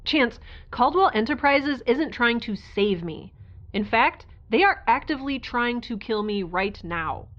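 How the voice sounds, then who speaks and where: A woman's voice: on the high side, animated, measured pace, lo-fi sound. A woman playing a defiant young fugitive in an audio drama, sarcastic and conversational. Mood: indignant.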